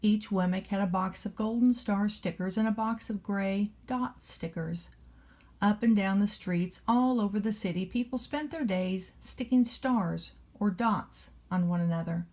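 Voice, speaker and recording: Mellow-voiced woman reading a children's storybook. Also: medium pitch, measured pace. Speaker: storybook reader playing a nanny. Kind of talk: children's storybook read-aloud narration.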